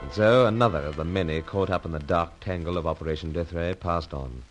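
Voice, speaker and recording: An actor playing a gallant, composed secret agent with gentlemanly phrasing in a radio serial. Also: male voice, low voice, a little fast, over loud music, close to an everyday voice. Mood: grave narrating. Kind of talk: radio serial acting and narration.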